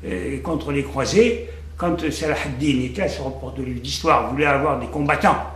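A man's voice: mid-range voice, moderate pace, a bit bright. An older man from Algeria, interviewed in a conversational way, reflective, repeating words as he searches for them. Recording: echoey room, faint background noise.

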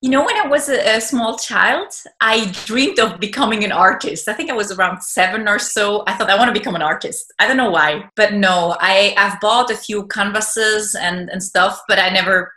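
Bright-voiced young woman on a video call. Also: mid-range voice, roomy sound. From Switzerland. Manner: chatty, laughs often, restarts. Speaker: art reporter. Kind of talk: casual video call interview. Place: living room at home. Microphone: laptop webcam video call.

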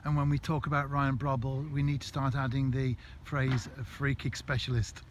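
Male club interviewer, brisk questions, outdoors, faint background noise. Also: medium pitch, little pitch movement. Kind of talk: post-match interview questions.

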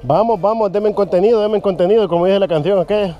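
A man's voice: sits high, fast talker, muffled recording, faint music behind. A man with a Salvadoran Spanish accent chatting casually and jokingly as a vlogger out on a hike. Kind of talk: casual chat while hiking. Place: outdoors in a park.

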